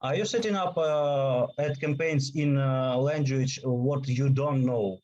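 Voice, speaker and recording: A man from Ukraine, hosting and asking questions in halting English over a video call. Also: medium pitch, fairly even intonation, a little mellow, dull audio. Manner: slow, halting English with many fillers. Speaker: host. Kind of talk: interview questions.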